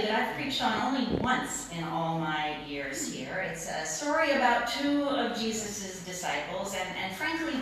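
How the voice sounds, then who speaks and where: A woman's voice: mid-range voice, a bit bright. Echoey, distant woman preaching a sermon. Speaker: pastor. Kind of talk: sermon, conversational storytelling. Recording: very echoey room, distant mic, noisy.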